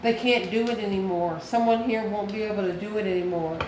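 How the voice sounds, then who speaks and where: A woman's voice: mid-range voice, fairly quick. Echoey, informal woman tarot reader. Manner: musing, asks and answers own questions. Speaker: tarot reader and channel host. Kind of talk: informal tarot card reading, talking to the viewer. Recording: echoey room with steady background noise.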